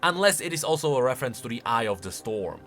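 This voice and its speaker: A man's voice: mid-range voice, big pitch swings, fast, fairly bright tone, soft background music. A man hosting a video of casual, explanatory game lore commentary in an emphatic, conversational way. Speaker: video host.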